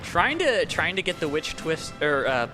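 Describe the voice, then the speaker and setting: A man co-commentating a video game match casually, with hesitant, halting phrasing and an agreeable way of talking. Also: on the high side, big pitch swings, music in the background. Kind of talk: casual live gaming commentary.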